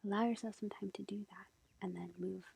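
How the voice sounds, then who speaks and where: A woman playing a sweet, gentle young witch girlfriend in an ASMR roleplay, whispering breathily and reassuringly as she reads tarot. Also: medium pitch, steady tone, gravelly, husky, exaggerated voice. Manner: gentle, hesitant, reassuring, unhurried. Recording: lo-fi, faint background noise. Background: faint ambient nature sounds.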